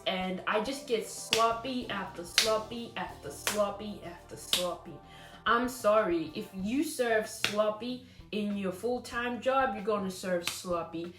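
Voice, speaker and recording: Woman, teacher-trainer lecture, blunt and scolding, repeats herself for emphasis; echoey room, soft music under. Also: mid-range voice.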